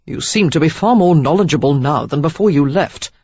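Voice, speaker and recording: A woman's voice: low-pitched, expressive, a little fast, a little mellow, slightly rough, no echo, full character voice. A woman narrator voicing a stern, reproachful young man in an audiobook, speaking in clipped, chiding tones. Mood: stern, outraged. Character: stern, prudish young man, easily outraged. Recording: slightly dull lo-fi recording.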